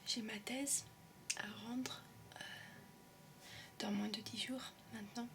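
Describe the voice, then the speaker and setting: A woman's voice: on the high side, fairly even intonation, takes it slowly, far from the mic, noisy background. A young woman with an English-tinged French accent rambling in an ASMR whisper, hesitant and full of ums, in shared student housing. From raised in English-speaking countries. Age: young adult. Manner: soft whisper, hesitant with many ums and euhs. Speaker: ASMR creator.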